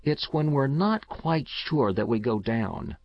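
A man's voice: medium pitch, expressive, takes it slowly, no room sound, dull audio, voice pushed up. Man voicing an earnest, grave little boy. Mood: earnest, sure. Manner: serious, polite, quietly insistent. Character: grave, earnest young boy with secret certainty. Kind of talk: storybook character reading.

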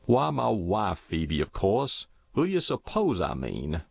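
A man's voice: fairly low pitch, huge pitch swings, slow pace, creaky, dry recording, dull audio, full character voice. A man voicing a thin, confused, stubborn old farmer with rural American speech, insistent and folksy, in a storybook reading. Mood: querulous. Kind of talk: audiobook character voice.

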